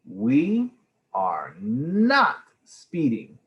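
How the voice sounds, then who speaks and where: A man's voice: medium pitch, wildly swooping pitch, drawn-out words, highs cut off, full character voice. A man voicing a firm, upbeat father in a storybook, decisive and reassuring. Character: firm, upbeat father leading a family trip.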